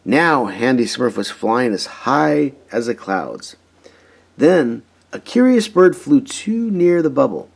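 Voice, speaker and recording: A man reading a children's storybook aloud in an expressive, emphatic way. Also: mid-range voice, takes it slowly, vocal fry. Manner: animated, emphatic, dramatizing story moments. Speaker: storybook reader.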